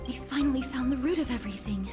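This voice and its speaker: A solemn young heroine, voiced by a woman speaks earnestly in formal, poetic phrases. The voice is fairly high, in dramatic game style, with clear music behind it. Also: quick pace, lightly acted. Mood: solemn, pleading. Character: earnest, solemn young heroine pleading to save her world. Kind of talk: dramatic video game voice acting.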